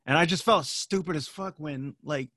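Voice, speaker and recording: A man's voice: a little high, normal speed, bright, forceful tone, no echo, studio quality. A man in his late twenties chatting casually, with slangy, self-deprecating talk and loose swearing. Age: late 20s. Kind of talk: casual chat, swearing freely.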